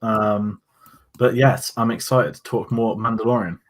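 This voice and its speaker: A man's voice: on the low side, fairly even intonation, a little slow. Easygoing man co-hosting a chat. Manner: loose, musing chat with restarts. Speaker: podcast co-host. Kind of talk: casual review chat.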